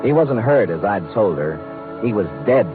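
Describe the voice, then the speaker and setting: A tinny old radio broadcast with music behind it carries a hardboiled American private eye acted by a man. His voice sits low, and he talks in a wry, deadpan, streetwise way, as in a classic 1950s detective serial. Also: normal speed, close to an everyday voice. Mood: wry narration. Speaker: lead actor and narrator of a detective series.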